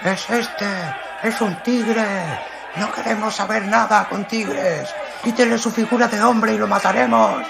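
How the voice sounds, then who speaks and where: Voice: mid-range voice, fairly even intonation, vocal fry, a loud crowd, very noisy. Una voz de radioteatro que interpreta a una turba furiosa de pueblerinos y muchachos, gritando y acusando. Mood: furious, accusing. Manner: shouting, taunting, drawn-out cries. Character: furious mob of townsfolk and children, shrill, accusing. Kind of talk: radio drama acting.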